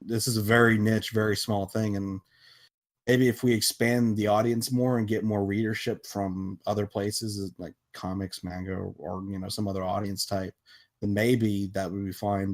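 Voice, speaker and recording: A man with a fairly low voice talks casually on a podcast. He explains his points matter-of-factly, in halting stretches. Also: flat delivery.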